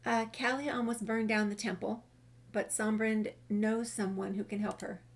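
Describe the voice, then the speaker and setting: A woman's voice: medium pitch, little pitch movement, moderate pace. A woman doing a casual game walkthrough, reading dialogue choices aloud and commenting. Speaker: gamer narrating a walkthrough.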